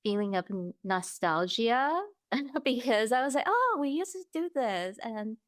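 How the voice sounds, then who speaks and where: A woman from Taiwan, a guest on a podcast, speaking in a measured way and searching for words. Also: a little high, big pitch swings, no room sound, studio quality. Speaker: interview guest. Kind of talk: casual interview chat.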